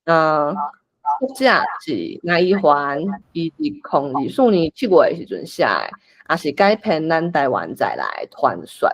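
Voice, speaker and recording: A Taiwanese-accented facilitator talks a group through a script reading. The pitch swings up and down in an animated way, and the delivery is informal, like someone thinking aloud while organising things. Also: a little slow. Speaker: workshop facilitator.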